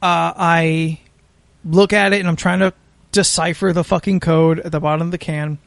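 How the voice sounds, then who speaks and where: An American man hosting a podcast, telling a story casually in a halting, sweary way. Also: fairly high pitch, fairly even intonation, gravelly, fairly bright tone, no echo. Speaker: podcast host.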